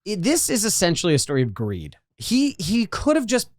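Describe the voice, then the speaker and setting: A man's voice: high-pitched, a little fast. A man co-hosting a podcast in casual comedic chat, often acting out imagined lines as he talks. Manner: animated, acts out imagined dialogue. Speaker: podcast co-host.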